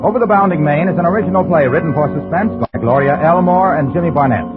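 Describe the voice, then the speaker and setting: A man's voice: a little high, fast talker. An American man reading sponsor copy and credits as a 1950s radio announcer, emphatic and polished. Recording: tinny band-limited old radio with faint music.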